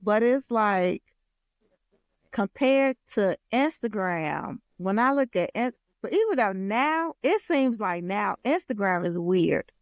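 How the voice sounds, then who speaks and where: An American woman co-hosting a podcast in casual, slangy girl talk with a playful, expressive manner. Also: medium pitch, takes it slowly, no room sound, clean studio sound. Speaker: podcast co-host and content creator.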